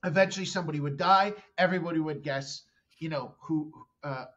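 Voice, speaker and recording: A man's voice: fairly high pitch, brisk, fairly bright tone, highs cut off. Rambling profane man. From Canada. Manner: rambling, profane, self-interrupting storyteller. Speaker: comedian guest. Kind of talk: casual podcast storytelling.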